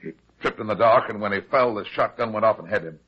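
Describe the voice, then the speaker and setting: A man playing a heavyset, shrewd private detective in an old-time radio drama, brisk and commanding as he explains things. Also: on the low side, breathy edge. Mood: cool, satisfied. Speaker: lead actor. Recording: dull, lo-fi old radio recording.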